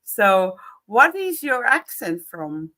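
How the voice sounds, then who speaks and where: Estonian-accented woman host, bright clear tone, friendly interviewer, casual questions. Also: medium pitch, big pitch swings, slow pace. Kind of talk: online interview.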